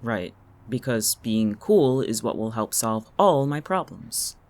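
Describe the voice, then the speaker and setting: Audio drama role for an actor: sardonic, calculating town local, sharp-tongued and irritable, animated in pitch. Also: slow. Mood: irritated, sarcastic. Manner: biting, sarcastic, dry-witted.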